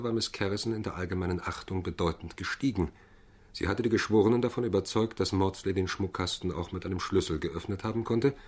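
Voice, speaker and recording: Casting: calm lawyer-narrator, low husky voice, observant radio-drama storytelling. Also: male voice. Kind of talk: scripted first-person radio drama narration and dialogue.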